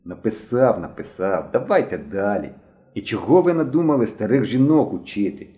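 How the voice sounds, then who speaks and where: A man voicing an excitable, cheeky young boy in an audiobook, defensive and easily flustered. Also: on the low side, moderate pace, natural voice. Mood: cheeky defiance. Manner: boastful, defiant, turning whiny when cornered.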